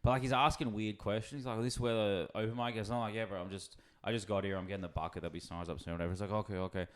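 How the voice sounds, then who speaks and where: A man's voice: on the low side, steady tone, racing, smooth. A man hosting a podcast in casual chat, rambling through stories and laughing as he talks. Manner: rambling storyteller, laughs while talking. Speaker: podcast host.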